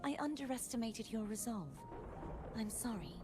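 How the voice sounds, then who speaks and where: A woman playing a gentle, self-doubting sacred maiden in a video game, speaking formally and hesitantly. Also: on the high side, lively intonation, normal speed, close to an everyday voice. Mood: humble, resolved. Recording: background music under dialogue. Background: game music.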